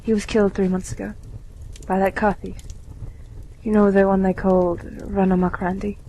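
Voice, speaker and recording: A woman playing a kindly, weary widowed lady in an amateur radio play, with a measured, genteel delivery. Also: mid-range voice, little pitch movement, warm tone, a little hiss or hum, close to an everyday voice, rough recording. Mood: sorrowful.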